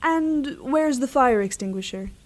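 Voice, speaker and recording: Long-suffering, kindly suburban mom , acted by a woman: fairly high voice, audio drama. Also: animated, a little slow, darkish tone. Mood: fond, wary. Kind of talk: scripted audio drama acting.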